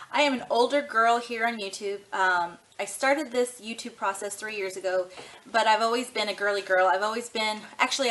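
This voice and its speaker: An easygoing woman in her thirties chats to camera in a rambling, frank way, full of ums and you-knows. Her voice sits a touch high, with a bright, forceful edge. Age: 30s. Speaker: vlogger doing a tag video. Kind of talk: casual chat to camera.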